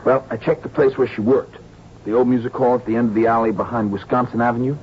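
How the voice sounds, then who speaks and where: An actor playing a dutiful, plain-spoken police sergeant in an old-time radio drama. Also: male voice, mid-range voice, flat delivery, fast, natural voice. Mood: reporting. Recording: dull old radio recording, faint noise.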